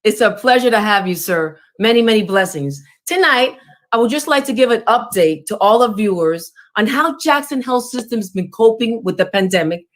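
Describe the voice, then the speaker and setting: A woman's voice: on the high side, expressive, normal speed. A woman hosting an interview show, effusive and formal, with many compliments and blessings. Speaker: talk show host.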